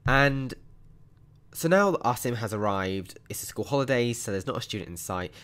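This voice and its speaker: A young man with a hoarse, croaky voice from a sore throat, chatting upbeat through a mobile game walkthrough with plenty of ums. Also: mid-range voice, animated, moderate pace, fairly bright tone. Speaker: mobile game channel host. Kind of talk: casual gameplay walkthrough commentary.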